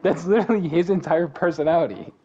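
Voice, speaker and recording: A man with a hoarse, nasal voice, casually chatting and reading out game events, laughing often. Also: a little high, dark tone. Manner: laughs often, quick joking banter. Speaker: host. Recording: slightly dull lo-fi mic with faint noise.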